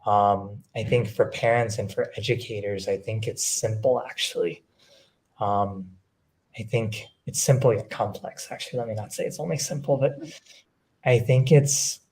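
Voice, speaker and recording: A man speaking as an education leader on a panel, reflective and measured, pausing between phrases as he thinks. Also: medium pitch, a little mellow. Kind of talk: thoughtful panel discussion.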